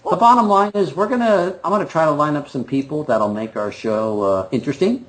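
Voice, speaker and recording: A man's voice: fairly high pitch, a little fast, rough recording. A man in his fifties co-hosting a bowling podcast in casual, joking, self-deprecating chat. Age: 50s. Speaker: podcast co-host.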